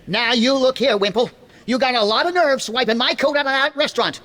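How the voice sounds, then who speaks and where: A man's voice: extremely high pitch, a little fast. A man playing a blustering, cocky husband with folksy, slangy talk in a 1940s-style radio comedy. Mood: indignant. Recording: loud audience and ambient noise behind.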